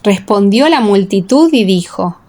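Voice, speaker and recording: A woman reading a dramatized Bible audiobook as narrator, in a solemn, measured storytelling manner. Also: mid-range voice, animated, warm tone. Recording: echoing, long reverb.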